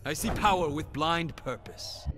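A man's voice: a little high, measured pace, heavy background noise, natural voice. An actor playing a proud, defiant Shaolin warrior monk in a fighting game, terse and formal as he issues challenges. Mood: proud, challenging.

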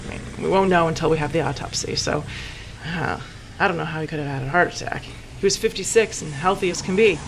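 An American actress playing courteous, slightly hesitant small-town women, a sheriff and a wife, in an audio drama. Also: female voice, sits low, brisk, a little hiss or hum, poor audio. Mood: matter-of-fact.

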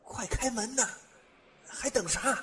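An actor playing an uneasy, questioning male student in an audio drama, fretful and expressive. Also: extremely high pitch, a little slow, a little hiss or hum, full character voice. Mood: urgent.

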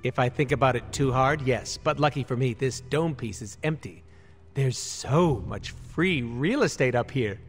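A man's voice: mid-range voice, normal speed, husky, soft background music, close to an everyday voice. A man playing an irreverent, wisecracking mercenary antihero in a video game, sarcastic and flippant. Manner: sarcastic, flippant, cartoonishly animated. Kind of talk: comic video game character acting.

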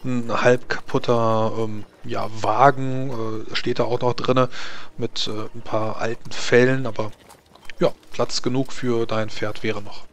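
A man's voice: medium pitch, level tone, a little slow. A man improvising narration as the game master of a tabletop role-playing game, hesitantly searching for words.

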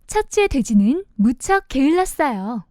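High, animated woman storyteller. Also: a little slow, no echo, clean studio sound. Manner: expressive, simple storytelling for young children. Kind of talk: children's storybook narration.

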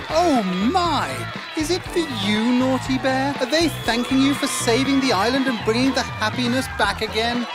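A man with a British accent playing a fussy, kindly children's storybook narrator in a game, theatrical and quaintly polite. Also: very high voice. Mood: excited, proud. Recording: music under the voice.

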